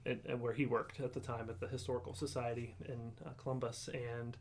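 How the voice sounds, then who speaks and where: A man in his forties from Ohio, telling his faith story in a halting, reflective way with many pauses and ums. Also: medium pitch, little pitch movement, slight background noise. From Ohio, USA. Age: 40s. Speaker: guest sharing testimony. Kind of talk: casual conversational testimony.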